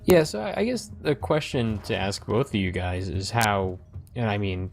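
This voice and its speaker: A man with an American accent and a deep voice edged with fry. He hosts a casual commentary, rambling conversationally and thinking aloud as he goes. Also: lively intonation, normal speed, soft background music. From United States. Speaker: host of a gaming commentary.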